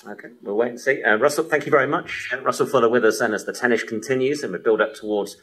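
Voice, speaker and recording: A man's voice: medium pitch, fast, a bit bright. A man presenting a live sports radio programme, heard over a shortwave broadcast.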